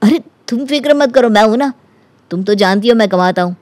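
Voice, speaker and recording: A woman voicing an eager, kind-hearted young man in love, earnest and reassuring, in an animated story. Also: on the high side, a little fast, no echo, natural voice. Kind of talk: animated Hindi story character acting.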